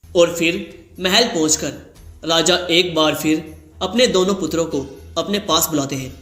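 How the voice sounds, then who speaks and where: Bright-voiced male story narrator. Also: fairly high pitch, normal speed, reverberant.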